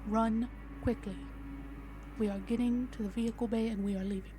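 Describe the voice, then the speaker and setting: A woman's voice: fairly high pitch, steady tone, normal speed, background noise. A woman player casually improvising in character during a tabletop roleplaying game.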